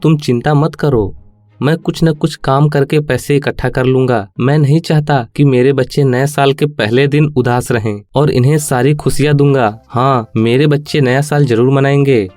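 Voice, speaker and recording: A man's voice: medium pitch, steady tone, moderate pace, a little mellow, no echo, natural voice. Poor village dad for a moral tale, kind and resolute played by a male narrator, faint music underneath. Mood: determined. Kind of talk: children's moral story cartoon acting.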